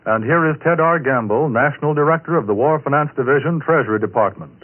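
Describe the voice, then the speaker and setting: Guy network announcer, husky mid voice, formal, tinny old radio. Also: mid-range voice, quick pace.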